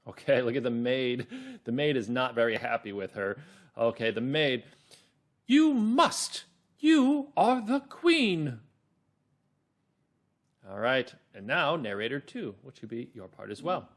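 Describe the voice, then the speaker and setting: A man's voice: medium pitch, moderate pace, fairly bright tone. A man leading a read-along lesson for children, explaining things simply and expressively.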